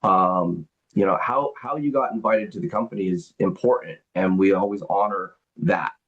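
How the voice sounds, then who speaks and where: A man's voice: sits low, moderate pace. A man hosting a business call, explaining membership benefits and taking questions, rambling with lots of fillers and repeated words. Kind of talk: business presentation and Q&A on a group call. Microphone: conference call. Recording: dull lo-fi call audio.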